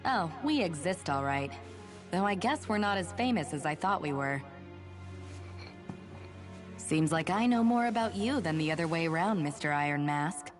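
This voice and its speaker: An actress voicing a brisk, teasing young woman who serves as a resistance medic in a video game. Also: lowish voice, animated, natural voice. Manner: teasing, self-assured. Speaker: medical officer. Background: game music.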